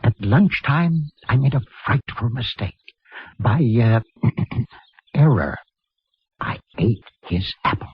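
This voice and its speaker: An actor playing a kindly, absent-minded priest in a 1950s radio drama, speaking in a genteel, hesitant, apologetic way. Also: male voice, medium pitch, very animated, takes it slowly, no room sound, full character voice. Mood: genial, sheepish. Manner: hesitant, apologetic, genteel speech with small chuckles. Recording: husky, dull vintage radio recording.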